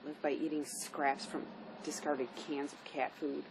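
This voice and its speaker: A woman's voice: low-pitched, little pitch movement, measured pace. A woman acting a jaded, self-mocking runaway in a drama reading, sardonic and resigned. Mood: bitterly sardonic. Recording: clear background noise, room ambience.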